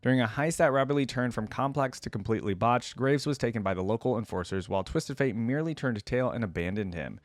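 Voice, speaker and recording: A man's voice: mid-range voice, fast talker, few pauses, no echo. A man hosting a reaction video, reading game lore aloud and breaking off for casual comments.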